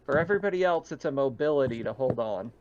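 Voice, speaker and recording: A man's voice: mid-range voice, animated, fast talker. A man acting as game master, talking casually and narrating a tabletop roleplaying session. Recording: dull lo-fi with background noise.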